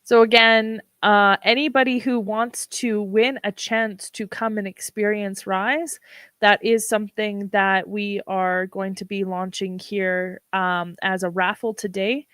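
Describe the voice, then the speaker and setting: A woman hosting a livestream, talking casually with a froggy, hoarse voice and many ums. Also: medium pitch, little pitch movement, fairly bright tone, no echo. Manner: unhurried, with frequent ums. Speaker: livestream host.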